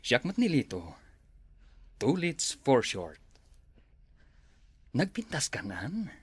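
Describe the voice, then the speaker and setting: Slow, husky Ilocano father. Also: mid-range voice, huge pitch swings, no echo. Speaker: father in a family comedy sketch.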